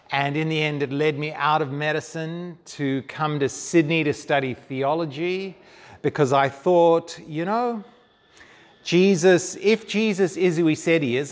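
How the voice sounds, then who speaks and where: Male guest speaker from Zambia, wry and self-mocking, slightly higher voice, long hall reverb. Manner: humorous, self-deprecating. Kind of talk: onstage interview, storytelling.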